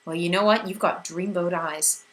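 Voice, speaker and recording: A woman doing a gentle, soft-spoken teenage boy. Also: fairly low pitch, steady tone, normal speed, lightly acted. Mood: tender.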